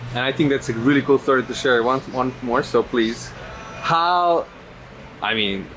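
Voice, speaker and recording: A man interviewing a guest in a relaxed, conversational way with loose phrasing. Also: medium pitch, unhurried, faint music behind, rough recording. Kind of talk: casual interview chat.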